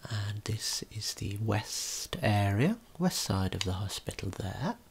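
A man giving a gentle, unhurried ASMR tour of a video game in a breathy, whispered, soft-spoken voice. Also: lowish voice, very animated. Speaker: ASMR creator and tour guide.

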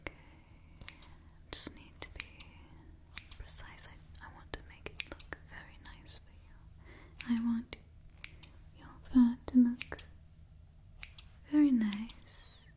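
A woman in her twenties whispering close to the microphone in a slow, hushed ASMR style, softly repeating words. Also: a little high, fairly even intonation. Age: 20s. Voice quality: whispery, breathy. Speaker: ASMR host. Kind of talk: ASMR role-play and sponsor read.

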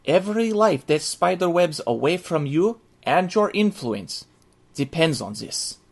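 An earnest military man reads out a survival handbook, as a male actor plays him. His voice is husky and creaky, set a little high. He speaks formally and with measured care, instructing as in an audio drama. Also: animated, exaggerated voice. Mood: earnest, solemn. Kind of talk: scripted in-character audio drama narration.

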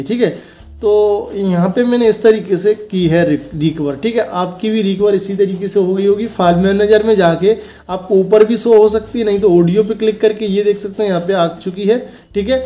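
A man's voice: sits high, dark tone. A young man from India hosting a phone tutorial, explaining each step casually and directly to viewers, often adding short check-in tags. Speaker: host of a phone tutorial channel.

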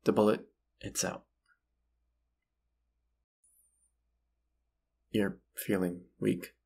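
Deep gravelly vampire hunter boyfriend played by a guy, slow n tender, audio roleplay. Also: little pitch movement, warm tone, full character voice. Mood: tender, soothing. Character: gentle, forgiving reborn male vampire hunter and lover. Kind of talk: intimate audio roleplay acting.

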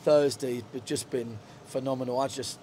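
A man's voice: medium pitch, fairly even intonation, moderate pace, mellow, slight background noise. A middle-aged man, a football manager, talking frankly in a post-match interview in a stadium dugout, with lots of fillers and restarts. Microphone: handheld reporter mic.

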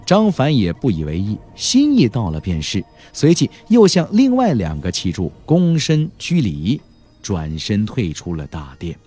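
A man narrating an audiobook in a dramatic storytelling style, expressive, with drawn-out emphasis. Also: on the low side, breathy edge, faint music behind.

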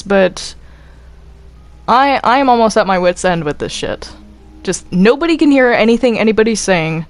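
A woman's voice: fairly high pitch, no room sound. A woman talking casually in a group game voice chat, earnest and exasperated, swearing freely. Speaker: player in a group Minecraft roleplay.

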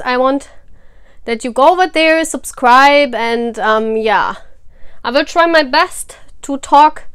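A woman whose first language is German chats easily to her followers before a tarot reading, laughing often. Her voice sits a little high and sounds smooth and clean. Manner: chatty, laughs often, warm encouragement to fans. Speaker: tarot reader. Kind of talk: casual chat to camera.

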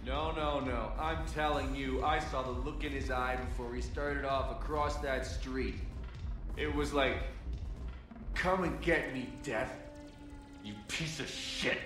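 A man's voice: medium pitch, fairly even intonation, natural voice. A man acting a somber, emphatic soldier honoring a fallen comrade in a video game. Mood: fervent. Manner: slow, emphatic, reverent. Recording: echoey room, music behind.

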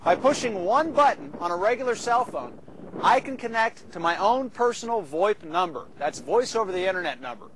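An American man in his thirties giving an emphatic, persuasive scripted sales pitch to camera. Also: very animated, moderate pace, fairly bright tone, slight background noise, low-quality recording. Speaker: infomercial presenter. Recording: music bed behind the voice.

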